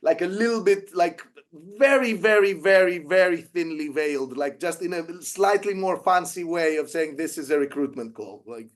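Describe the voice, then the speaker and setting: Greek-accented male guest, a little high-voiced, thinks aloud with many pauses and restarts. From Greece. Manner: hesitant, many fillers and restarts. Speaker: guest filmmaker. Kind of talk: interview answer, casual.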